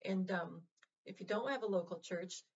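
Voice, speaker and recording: Gentle, halting woman vlogger, lo-fi sound. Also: on the low side, a little hiss or hum. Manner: halting, full of fillers and restarts, gentle and reassuring. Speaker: vlog host. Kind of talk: casual heartfelt address to viewers.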